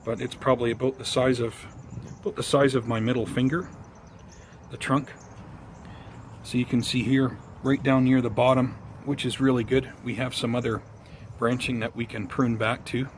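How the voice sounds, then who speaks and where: Male gardening vlogger, mid-pitched, casual and unhurried, slightly hesitant, recorded outdoors with faint ambient noise. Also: little pitch movement. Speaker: host of a bonsai gardening vlog. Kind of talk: casual vlog chat to viewers.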